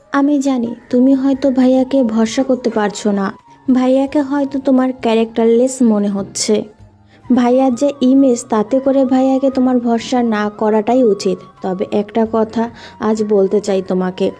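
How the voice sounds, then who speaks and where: Mellow, high-voiced young woman acted. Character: earnest young woman, loyal sister. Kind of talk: audio story drama acting. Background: background music.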